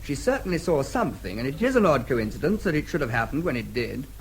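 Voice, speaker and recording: An actor playing a British diplomat and protective brother in a radio drama, clipped, courteous and formal, with an English accent. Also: male voice, mid-range voice, very animated, quick pace, slight background noise, low-quality recording. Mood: firm, concerned.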